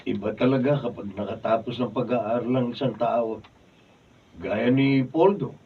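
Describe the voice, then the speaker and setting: A man's voice: medium pitch, moderate pace, natural voice. Worn-down Filipino labourer, around fifty, played by a man, aggrieved and plaintive, off-mic sound with faint noise. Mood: bitter, resigned. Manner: plaintive, grumbling. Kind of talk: Filipino radio drama acting. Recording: distant, dull, faintly noisy studio recording.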